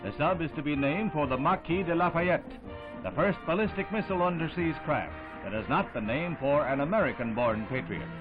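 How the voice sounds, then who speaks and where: A man delivers scripted 1960s newsreel narration in a formal, declamatory voice pitched on the high side. The old soundtrack is thin and phone-like, with music playing prominently behind him.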